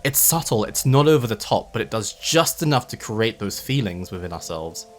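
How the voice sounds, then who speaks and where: A man narrating a video essay in an explanatory, measured way with emphatic phrasing and casual asides. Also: lowish voice, lively intonation. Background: background music.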